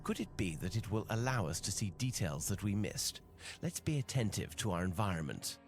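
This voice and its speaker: An actor playing a composed, rational detective who speaks in a measured, formal, analytical way in a video game. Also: male voice, on the low side, big pitch swings. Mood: calm, rational. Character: composed, rational male detective, skeptical of superstition. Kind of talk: video game cutscene voice acting.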